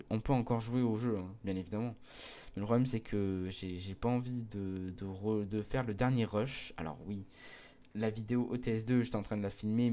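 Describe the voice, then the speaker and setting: Hesitant teenage boy with a mellow voice. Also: on the low side. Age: mid-teens. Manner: hesitant, many fillers and restarts; earnest, pleading with viewers. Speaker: gaming video creator. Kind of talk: casual explanation to viewers.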